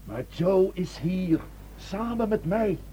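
A man's voice: high voice, expressive, takes it slowly, warm tone, a little hiss or hum. An actor playing a stern, authoritative man taking charge of a crisis in a radio drama, grave and emphatic. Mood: coaxing, raised voice.

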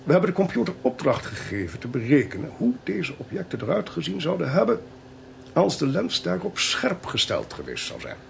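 A man playing a shrewd, theatrical Dutch police chief in a radio drama, explaining things deliberately and wryly. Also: on the low side, creaky, slight background noise, full character voice. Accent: Dutch (Netherlands).

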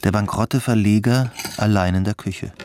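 A man's voice: fairly low pitch, fairly even intonation, normal speed, background noise. A man reading scripted literary narration for a radio drama.

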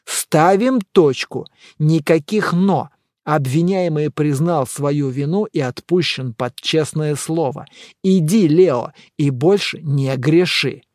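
Audiobook role for a male narrator: wry, bossy man with mock-solemn authority. Measured, ironic, closely recorded. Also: a little high, darkish tone, no echo, clean studio sound.

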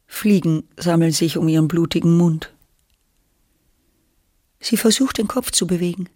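A narrator of a literary radio play, telling the story in a measured, dramatic way with deliberate pauses. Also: mid-range voice, warm tone, dry recording, studio quality.

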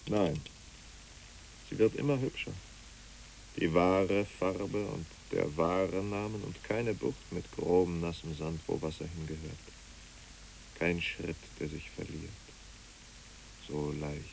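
Deep, gravelly, brooding killer. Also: male voice, fairly even intonation, darkish tone, exaggerated voice, rough recording. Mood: quiet, wistful. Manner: terse, grave, deliberate. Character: brooding, blunt male killer who slew his comrade. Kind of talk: literary radio play acting. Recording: hissy old radio recording.

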